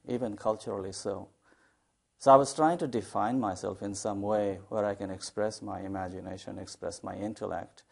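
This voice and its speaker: Thoughtful professor from Bangladesh, low voice. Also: male voice, vocal fry, a little mellow. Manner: measured, thoughtful, with many fillers. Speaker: English professor, poet. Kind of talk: studio interview. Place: TV studio. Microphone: lapel.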